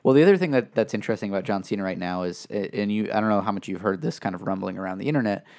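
A man's voice: fairly low pitch, animated, very fast, a little mellow. A man co-hosting a casual wrestling podcast, rambling and restarting his sentences, with frequent chuckles. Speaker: podcast co-host.

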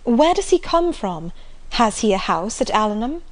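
A woman's voice: on the high side, sing-song, moderate pace. A woman voicing a sensible, composed young woman asking direct questions. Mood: curious.

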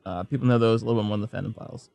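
A man's voice: on the low side, fairly even intonation, racing, gravelly, warm tone, no echo. A man hosting a podcast, interviewing a guest in a casual, conversational style. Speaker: podcast host.

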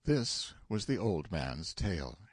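Expressive man narrating with lively pitch. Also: slow pace, slightly rough, no room sound, studio quality. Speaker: audiobook narrator. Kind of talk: expressive scripted literary narration.

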